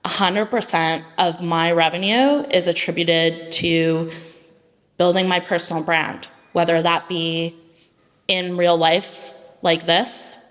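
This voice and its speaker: A woman's voice: lowish voice, fairly even intonation, slow, a bit bright, in a large hall. A woman founder speaking on a panel in a casual way, often saying "like". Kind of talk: panel discussion talk.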